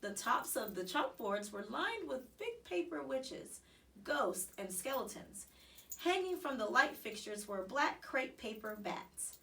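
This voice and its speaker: A woman reading a children's story aloud in an expressive, animated way with dramatic emphasis. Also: fairly high pitch, a little slow, gravelly, far from the mic. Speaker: storybook reader.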